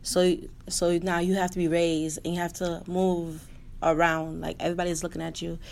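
A woman who was born in Haiti and raised in New York, a guest on a casual podcast who speaks in a quiet, thoughtful way. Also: fairly low pitch, flat delivery, moderate pace, gravelly. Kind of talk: casual group chat.

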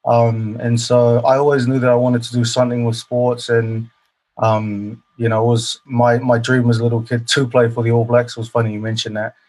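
A man's voice: medium pitch, flat delivery, moderate pace, a little mellow, rough recording. Humble man from New Zealand. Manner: hesitant, many fillers, humble. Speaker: guest, professional rugby player. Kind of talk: casual interview answers.

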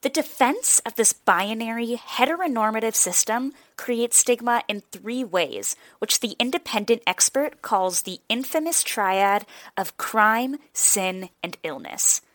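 A young woman hosts and narrates a radio-style news feature from a written script. Her delivery is clear and even, and her voice sounds close and dry with no room around it. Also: a little high, unhurried, few pauses, dry recording. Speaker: reporter and host.

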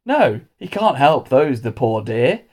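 A man's voice: medium pitch, very animated, slow, a little mellow, heavily acted. A man voicing a flustered, fussy female school dinner lady who complains in exasperation, for a storybook. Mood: exasperated. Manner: exclamatory, exasperated.

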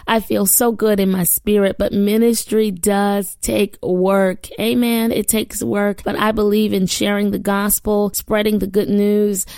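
A woman hosting a daily devotional podcast, preaching and praying with fervor, her voice hoarse after a weekend of ministry, recorded in a small studio. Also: mid-range voice, flat delivery, a little slow, few pauses, no echo. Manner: warm fervent preaching and prayer.

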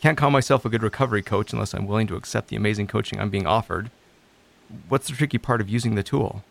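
A man acting the part of a lonely, self-doubting recovery coach in an audio drama, halting and introspective. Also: lowish voice, creaky. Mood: subdued, receptive.

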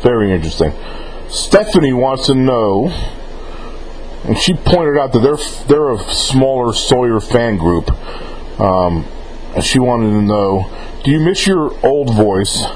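A man interviews in a casual, chatty way at a middling pitch. He rambles a little and rewords his questions as he goes. There is clear noise behind his voice. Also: very animated, unhurried, darkish tone, rough recording. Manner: informal, rambling, often rephrasing questions. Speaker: interviewer relaying fan questions.

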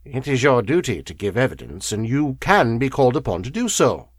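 A man playing a stern, cool, methodical lawyer in a narrated story, speaking in a measured, cold way. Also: medium pitch, big pitch swings, no room sound, clean studio sound.

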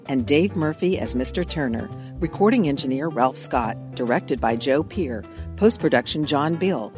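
A woman's voice: low-pitched, darkish tone. A woman announcer reading scripted closing credits for a radio drama. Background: music.

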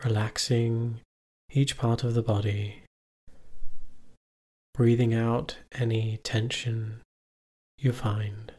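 A man with a slightly husky voice guides a meditation at a very slow pace. His pitch stays even and mid-range, and he leaves long pauses between short, calm instructions. He sounds measured, like a teacher leading practice.

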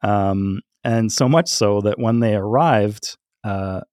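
A man co-hosting a podcast, telling music anecdotes in a halting, casual way with frequent chuckles. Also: on the low side, darkish tone, no echo, studio quality. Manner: halting, chuckles often while talking. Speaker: podcast co-host.

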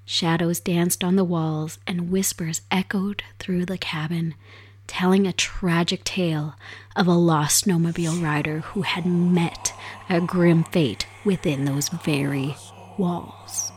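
A woman with a hoarse, raspy voice from a cold takes her time with every phrase. Her pitch sits a little low, and she talks softly and without hurry, as if reading a tale at home. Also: steady tone. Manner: soft, unhurried storyteller. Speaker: podcast host. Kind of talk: casual chat and storytelling. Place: home desk set up for streaming.

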